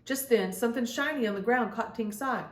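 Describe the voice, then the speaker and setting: A woman reading a children's story aloud in an expressive storytelling style, speaking as if to young listeners. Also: a little high, brisk, roomy sound. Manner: addresses young children directly, acts out dialogue. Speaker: children's storybook reader and host.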